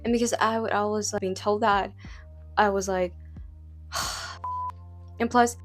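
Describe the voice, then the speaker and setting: A woman's voice: mid-range voice, steady tone, slow, soft background music. Young woman rambling, English not her first language. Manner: rambling, heavy use of "like" fillers, frequent restarts. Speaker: vlogger. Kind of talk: casual talk to camera.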